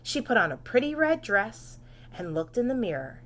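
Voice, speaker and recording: Bright, animated woman reading to kids. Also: on the high side, moderate pace. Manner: expressive, dramatic, simple explanations for young children. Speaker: preschool read-aloud teacher. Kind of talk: children's storybook narration with asides and questions.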